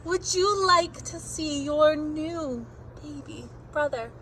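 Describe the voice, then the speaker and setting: A woman's voice: high voice, slow pace, fairly bright tone, slight background noise, voice pushed up, low-quality recording. A woman voicing a cheerful, coaxing mother in a children's storybook, stretching out her phrases.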